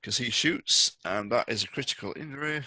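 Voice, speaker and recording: Man, game master, bright forceful tone, dry close sound, animated casual narration. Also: medium pitch, fairly quick, dry recording.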